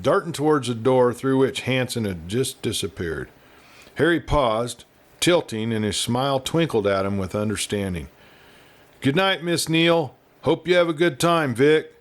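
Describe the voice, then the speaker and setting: A man reading a western story aloud as scripted narration, with a dramatic, expressive delivery. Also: mid-range voice. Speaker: audiobook reader and show host.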